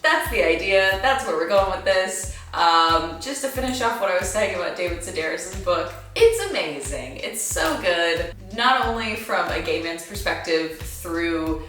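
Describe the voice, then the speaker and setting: A young woman chatting casually to viewers about what she recommends, with an animated, gushing, emphatic manner. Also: lowish voice, unhurried, few pauses. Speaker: book review vlogger. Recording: echoey room, distant mic, faint music.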